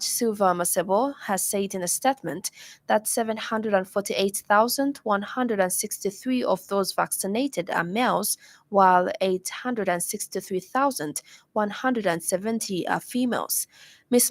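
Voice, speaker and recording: A woman's voice: mid-range voice, no echo. A woman with a Zambian accent reading a scripted radio news bulletin in a formal manner. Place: radio studio.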